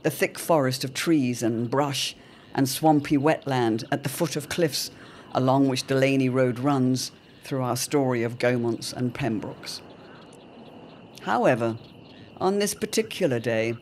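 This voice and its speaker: A man narrating an audio drama serial in a measured, portentous, dramatic storytelling style. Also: mid-range voice, darkish tone. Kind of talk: dramatic scripted narration, old radio serial. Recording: clear background ambient noise.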